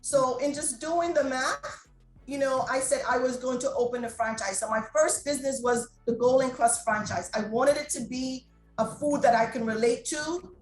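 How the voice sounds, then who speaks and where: A high-pitched middle-aged woman from Jamaica chats freely about her businesses, laughing easily as she talks. Her voice rings in an echoey room while faint music plays underneath. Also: moderate pace. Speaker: restaurant owner and guest. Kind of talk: interview conversation.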